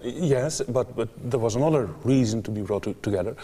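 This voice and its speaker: A man's voice: medium pitch, a little fast, mellow, breathy edge, in a large hall. A man hosting a TV interview, asking probing questions with short prompts and some hesitation. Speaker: interviewer, TV host.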